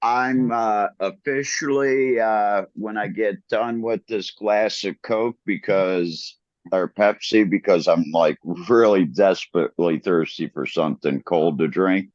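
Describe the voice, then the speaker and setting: Male guest, deep and very slow, halting; dull dry remote audio. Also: no room sound. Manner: slow, halting, lots of uhs. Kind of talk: casual chat.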